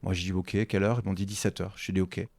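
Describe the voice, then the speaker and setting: A man's voice: low voice, level tone, quick pace, vocal fry, darkish tone, dry recording. A man in his forties, a real estate agency founder, chatting in an interview and telling stories with lots of repetition and acted-out dialogue. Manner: chatty, repeats his own phrases, acts out quoted dialogue. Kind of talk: casual interview storytelling.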